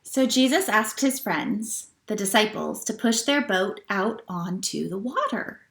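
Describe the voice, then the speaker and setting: A woman with a very bright, clear-edged voice leads storytime for young children. She speaks as a gentle teacher would, putting questions to her listeners and reading aloud at a mid-range pitch. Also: lively intonation, a little slow, echoey room. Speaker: children's church storytime leader. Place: at home.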